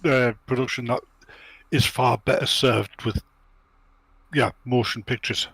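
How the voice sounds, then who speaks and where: A man's voice: medium pitch, fairly even intonation, measured pace, a bit bright, slightly rough, no echo, poor audio. A man on a podcast panel giving casual, musing, slightly hesitant commentary.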